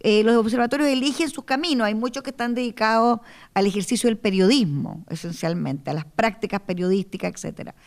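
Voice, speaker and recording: A woman, a guest panelist and director, speaking in a measured way with some hesitations. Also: fairly high pitch. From Chile. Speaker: invited panelist, observatory director. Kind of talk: public talk at a panel. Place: university lecture hall.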